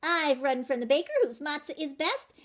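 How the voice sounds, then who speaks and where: A woman's voice: high voice, normal speed, pitched above the performer's own voice, lightly acted. A woman voicing a cocky, clever little matzah man in a children's story, chanting boastful rhymes in a teasing sing-song.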